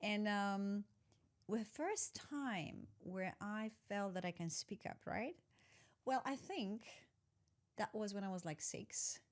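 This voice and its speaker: A woman's voice: medium pitch, expressive, slow. A woman in her forties, from Poland with Polish as her first language, talking reflectively as a podcast guest, searching for words and correcting herself. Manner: reflective, searching, self-correcting, soft laughs. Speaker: guest, executive coach. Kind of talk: conversational interview.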